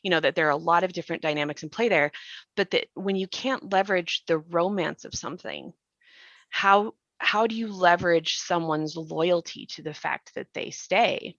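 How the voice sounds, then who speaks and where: A woman's voice: on the low side, normal speed, fairly bright tone, no room sound, muffled recording. A woman hosting a reflective podcast discussion, explaining things in a thoughtful way. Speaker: podcast host and actor.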